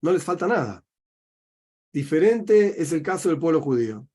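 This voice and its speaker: A middle-aged Argentine man teaching as a rabbi, in a voice pitched a little high for a man. He works through his points by putting questions to himself and answering them, in an unhurried teaching manner. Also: big pitch swings, darkish tone. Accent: Argentine Spanish. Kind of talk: religious teaching talk.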